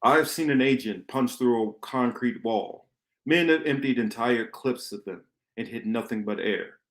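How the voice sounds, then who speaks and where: A man acting a grave, commanding rebel mentor in an amateur radio play, with deliberate, emphatic phrasing and dramatic pauses. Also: on the low side. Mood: solemn, resolute. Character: grave, commanding male rebel mentor lecturing his pupil. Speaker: podcast host reading a radio play.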